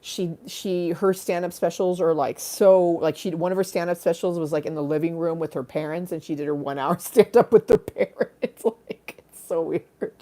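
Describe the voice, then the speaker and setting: A woman with a slightly low, dark and mellow voice chats to her live stream. She rambles comically from aside to aside with a quirky, theatrical streak. Her manner is giggly and bawdy, with casual swearing. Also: fairly even intonation, normal speed, few pauses. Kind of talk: rambling comic livestream chat.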